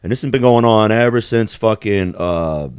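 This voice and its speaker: A man's voice: fairly low pitch, moderate pace, smooth, no room sound, studio quality. A man co-hosting a podcast, telling stories in crude, profane casual chat. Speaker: podcast co-host.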